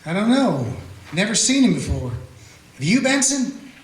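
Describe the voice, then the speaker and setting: A man's voice: high-pitched, big pitch swings, normal speed. A man playing a folksy small-town frontier sheriff who narrates in a drawling, plainspoken way. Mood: scoffing. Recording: roomy, echoey room.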